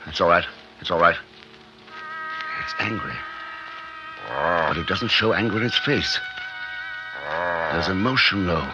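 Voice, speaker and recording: An actor in a 1950s radio drama playing an obsessed scientist, speaking in intense, halting bursts, awed and fearful of what he has made. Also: low voice, wildly swooping pitch, loud music behind the voice, exaggerated voice. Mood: awed, agitated. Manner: intense, halting, talking to himself in short bursts. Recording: dull 1950s radio recording.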